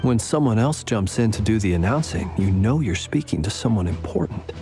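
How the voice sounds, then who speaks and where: An actor playing a polite, slyly persuasive gentleman in a video game, speaking formally with wry courtesy. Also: lowish voice, animated, brisk, soft background music, lightly acted. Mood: composed. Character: polite, slyly persuasive, smooth-talking gentleman, adult male. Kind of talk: video game character acting.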